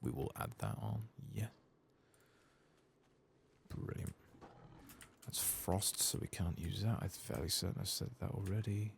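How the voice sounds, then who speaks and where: A soft-spoken man muttering playful, sweary, self-mocking ASMR commentary as he hosts a video game playthrough. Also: low-pitched, very animated, a little slow, creaky, long pauses, slight background noise. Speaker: host of a gaming playthrough channel.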